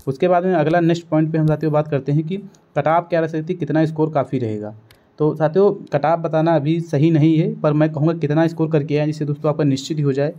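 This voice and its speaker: A man's voice: fairly high pitch, fairly even intonation, fast, mellow. A man from northern India giving an informal, instructive exam-coaching lesson, often addressing his listeners directly as friends. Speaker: exam-coaching teacher and channel host. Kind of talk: informal strategy lesson to students. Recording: dull, lo-fi sound.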